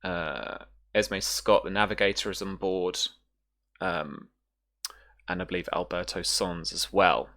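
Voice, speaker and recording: A game master narrating a sci-fi tabletop roleplaying game in short, hesitant phrases with deliberate pauses. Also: low voice, fairly bright tone.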